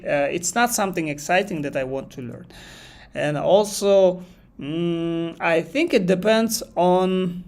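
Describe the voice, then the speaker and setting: Kazakh-accented male tutor, a touch high-pitched, unscripted live class, loose and repetitive phrasing. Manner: thinks aloud, repeats phrases, reads chat questions aloud. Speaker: English exam teacher. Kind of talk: live online lesson.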